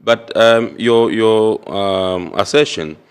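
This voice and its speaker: Guy hosting, very deep voice, calm probing questions. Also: clean tone. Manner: measured and probing, pushing back on the guest. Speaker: show host. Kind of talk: studio interview.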